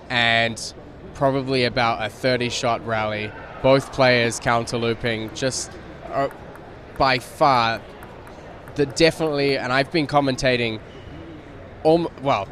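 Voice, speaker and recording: A man in his thirties from New Zealand, a media director giving a relaxed live recap at a tournament studio desk on a handheld mic, with many fillers. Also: medium pitch, slow. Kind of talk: live sports show analysis. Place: studio set at a convention center.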